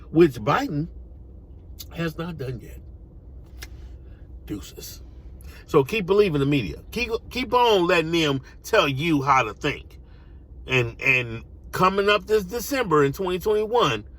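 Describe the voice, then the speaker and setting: A man's voice: on the high side, fairly bright tone. An American man giving an opinionated, informal monologue, emphatic and slangy, repeating phrases to drive points home. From United States. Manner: casual street slang. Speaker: online commentator.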